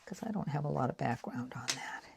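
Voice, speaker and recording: A woman in her sixties with a light Boston accent, chatting casually and rambling as she makes art prints, dropping into soft whispered asides while she works. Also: a bass voice, animated, a little fast, creaky, noisy background. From New England, United States. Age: 60s. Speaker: artist and craft vlogger.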